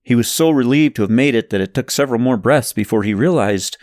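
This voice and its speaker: A man narrating a story from a script in an expressive, suspenseful, dramatic way. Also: mid-range voice, fast, dry recording. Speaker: audiobook narrator reading own novel.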